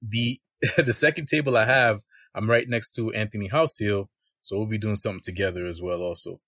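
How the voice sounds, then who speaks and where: A man's voice: fairly low pitch, fairly even intonation, fairly quick, fairly bright tone, no echo, clean studio sound. A man guesting on a podcast, easygoing and laughing between his points. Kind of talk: casual chat.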